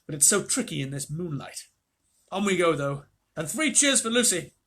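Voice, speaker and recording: A man's voice: a little high, very animated, normal speed, a bit bright, full character voice. Peter, a man doing a hearty young king. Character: bold hearty teenage boy, a young king.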